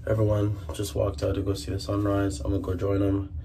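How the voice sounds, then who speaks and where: A man's voice: lowish voice, completely flat delivery, fairly quick, dark tone. A man in his twenties chatting casually and slangily to camera as a vlogger, in a laid-back manner. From South Sudan or Canada. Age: 20s. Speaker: vlogger, college soccer player. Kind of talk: casual vlog chat to camera. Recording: noisy outdoor and room vlog audio.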